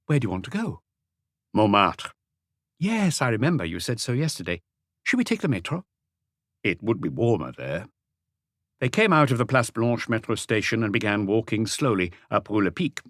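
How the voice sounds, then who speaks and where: A male narrator reads a mystery audiobook. His voice is fairly low, yet the intonation moves widely through each sentence, and the recording is dry and close with no room sound. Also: a bit bright, no echo. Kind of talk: scripted narration of a mystery novel.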